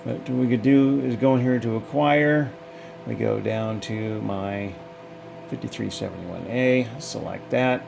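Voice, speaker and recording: A man's voice: medium pitch, measured pace, gravelly, noisy background. A man giving a casual, off-the-cuff technical demonstration in a folksy, hesitant way, thinking aloud as he goes. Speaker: hobbyist demonstrator.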